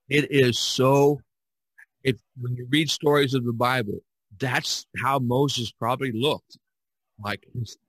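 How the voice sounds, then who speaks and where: A man hosting a podcast, talking casually about art in a rambling, halting way with frequent restarts. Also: medium pitch, fairly bright tone, no echo, rough recording. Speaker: podcast host discussing art. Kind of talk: casual conversational discussion.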